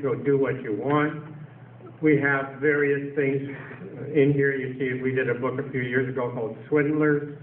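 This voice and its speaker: A man's voice: medium pitch, a little hiss or hum. An older man from Canada giving a seminar talk to an audience, sardonic and full of rhetorical questions. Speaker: seminar speaker. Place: council chamber, echoey hall. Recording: distant echoey lo-fi hall recording.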